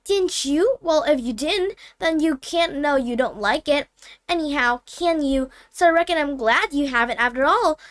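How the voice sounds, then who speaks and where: A woman's voice: high voice, expressive, normal speed, no echo, pitched above the performer's own voice. A woman voicing a cheerful, chatty little girl from a storybook, eager and earnest, rambling and correcting herself. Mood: cheerfully persistent. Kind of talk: storybook character voice.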